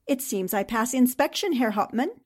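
An actress playing a poised, coy countess who works as an impostor, in 1940s radio drama style. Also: female voice, a little high, expressive, moderate pace. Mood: playful, self-assured. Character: poised, sly countess, Nazi impostor of a newspaperwoman.

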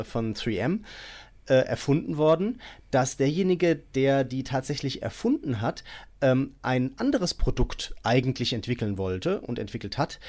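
A man's voice: medium pitch, a little mellow. Man explaining at length in a podcast. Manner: explanatory, many fillers. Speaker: podcast co-host. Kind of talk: casual podcast chat.

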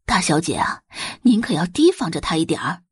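A woman acts out a middle-aged housekeeper from an audio drama, snobbish and eager to flatter. Her slightly husky voice rises and falls animatedly as she tattles in indignant exclamations. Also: lowish voice, fairly quick, no room sound, studio quality, heavily acted. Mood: tattling.

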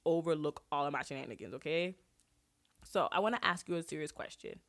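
A young woman with a low voice hosts her own podcast, talking straight to her listeners. She is congested and sniffly from a cold, and she asks them direct, earnest questions in a casual, personal way. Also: fairly quick. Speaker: podcast host. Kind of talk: casual solo podcast advice talk.

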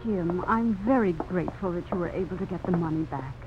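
An actress playing a selfish, scornful belle with a theatrical, imperious 1950s radio drama delivery. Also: female voice, on the low side, brisk, music in the background, natural voice. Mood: urgent. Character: selfish, scornful Southern belle, unfaithful gambler's wife. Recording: muffled old radio broadcast, faint hiss.

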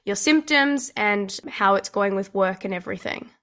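A woman's voice: mid-range voice, expressive, moderate pace, a bit bright. Woman hosting a podcast interview. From Australia. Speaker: podcast host. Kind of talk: conversational interviewing.

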